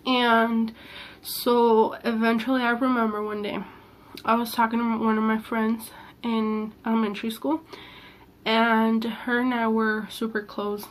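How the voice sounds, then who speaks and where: A young woman vlogging about her personal past, earnest and halting, with a slightly sick-sounding voice. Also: a little high. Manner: hesitant, halting, many fillers and restarts; candid and earnest. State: sick, voice a little off. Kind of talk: personal confessional vlog.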